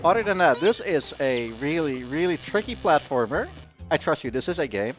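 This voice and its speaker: Male speedrunner, non-native English, casual wry commentary, animated pitch, game music behind. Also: moderate pace. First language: not English. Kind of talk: casual live gameplay commentary. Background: video game music.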